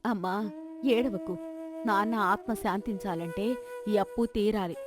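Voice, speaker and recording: A woman's voice: medium pitch, unhurried, music in the background, natural voice. A woman voicing a brave, respectful young village daughter, earnest and firm, in a folk tale. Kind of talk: acted folk-tale dialogue.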